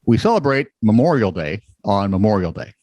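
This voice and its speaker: A man co-hosts a comedy news podcast with a lively, swooping voice. He reads offbeat stories and drops dry, sarcastic asides. His voice sounds close and dry, with little room sound. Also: fairly low pitch, a little fast, no room sound. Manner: wry, sarcastic, lively delivery. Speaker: co-host.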